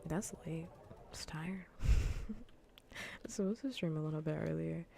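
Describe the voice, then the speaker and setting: A woman streamer chatting casually in a soft, breathy ASMR voice that often drifts into whispers, relaxed and rambling, close to the mic. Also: low-pitched, slow pace, slight background noise. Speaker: livestreamer hosting a cozy gaming stream. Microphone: close ASMR microphone.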